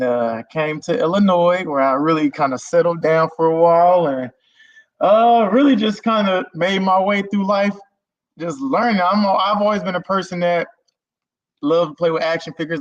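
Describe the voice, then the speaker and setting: A man's voice: on the high side, dry recording. Easygoing man on a lo-fi stream call. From Germany. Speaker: guest content creator. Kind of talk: casual interview talk. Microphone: video call. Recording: dull lo-fi stream audio.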